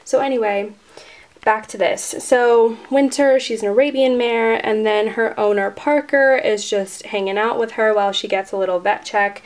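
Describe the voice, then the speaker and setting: A woman's voice: fairly high pitch, fairly even intonation, moderate pace. Casual young woman showing off her model barn, lots of ums, filming on her camera. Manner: chatty, lots of ums and likes. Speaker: toy horse channel creator.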